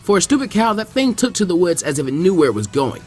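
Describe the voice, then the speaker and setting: A man reading a fanfiction story aloud as narrator, in an animated, quick style. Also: high voice, faint music behind. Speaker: fanfiction reader.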